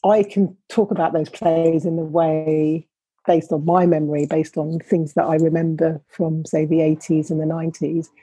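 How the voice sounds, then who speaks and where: A woman's voice: sits low, little pitch movement, moderate pace, mellow, breathy edge, no echo, low-quality recording. A middle-aged woman, an academic guest, speaking thoughtfully and measuredly in conversation, often restarting her sentences. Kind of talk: reflective interview conversation.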